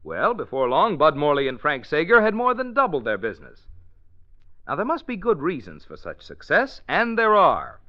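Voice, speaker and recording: An American man delivers an old-time radio sponsor message. His raised pitch moves around a lot in a polished, folksy sales style, on a dull lo-fi recording. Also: huge pitch swings, moderate pace. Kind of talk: 1940s radio ad read, scripted.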